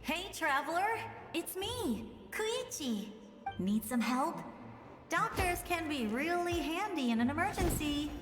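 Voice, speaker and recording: A woman's voice: extremely high pitch, big pitch swings, a little slow, exaggerated voice. A woman playing a spirited, caring young big-sister healer in a video game, earnest and encouraging. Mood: friendly. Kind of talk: animated video game voice acting. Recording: echoey reverberant hall, game music behind.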